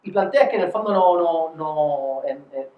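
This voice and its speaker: A man moderating an academic discussion, putting long scholarly questions haltingly with repeated words, in a roomy, dull recording with faint noise. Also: a little high, expressive.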